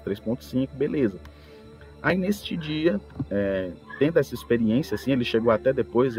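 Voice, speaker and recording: A man with a Brazilian accent talks casually as a podcast host, telling gaming anecdotes in an animated, storytelling way. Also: mid-range voice. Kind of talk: casual anecdotal talk about tabletop role-playing. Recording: music bed behind the voice. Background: background music.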